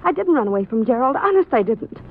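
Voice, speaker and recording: An actress playing a frightened young captive wife in a 1950s radio drama, anxious, earnest and pleading. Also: female voice, high-pitched, very animated, fast talker. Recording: muffled, slightly noisy 1950s radio recording.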